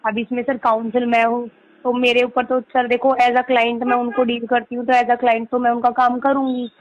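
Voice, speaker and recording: A woman's voice: on the high side, completely flat delivery, normal speed, highs cut off. A woman lawyer explaining and persuading insistently on a recorded call, mixing in English legal terms. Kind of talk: persuasive phone conversation. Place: recorded phone or video call.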